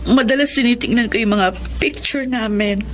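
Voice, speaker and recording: A woman's voice: on the high side, moderate pace, fairly bright tone, natural voice. An actress with a Filipino accent playing a grieving, helpless young woman in an audio drama. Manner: sorrowful, helpless. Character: grieving young woman pleading for help over lost love. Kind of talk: Filipino radio-style drama acting. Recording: music behind the voice.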